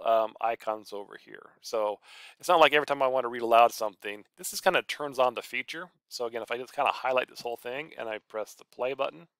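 Man, smooth mid-range voice, dry sound, informal tutorial presenter talking through each step. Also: mid-range voice, fairly bright tone, no echo. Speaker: college professor and author giving a software tutorial. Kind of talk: casual screencast tutorial.

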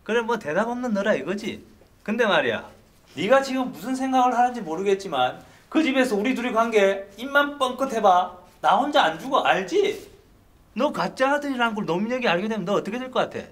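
A flustered, scheming sitcom executive in his fifties. His voice is high and sharp, swinging widely as he blusters. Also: lively intonation, a little fast. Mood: threatening. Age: 50s. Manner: blustering, needling. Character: jumpy, scheming middle-aged company director, threatening and flustered. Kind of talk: sitcom acting. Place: tiled restroom.

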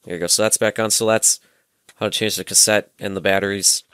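Deep-voiced young man. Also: steady tone, a little fast, fairly bright tone, clean tone, no echo, clean studio sound. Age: young adult. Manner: informal, step-by-step explaining. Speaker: presenter of a gadget tutorial. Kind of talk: casual hands-on demonstration. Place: small room at home, indoors. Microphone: headset microphone.

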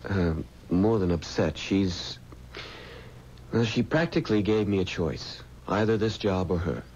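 An actor playing an earnest, uneasy young mortician with a hesitant way of talking in a 1970s radio drama. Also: male voice, sits low, expressive, measured pace, darkish tone, husky, full character voice. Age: young adult. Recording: dull, faintly noisy 1970s radio recording.

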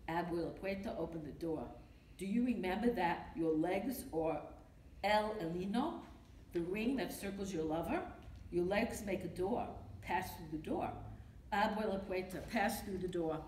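An older woman with a Brooklyn accent reading a poem at a podium, emphatic and rhythmic, repeating her refrains. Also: on the low side. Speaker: poetry reader. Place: library meeting room. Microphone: podium mic from a far camera. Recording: echoey room with faint noise.

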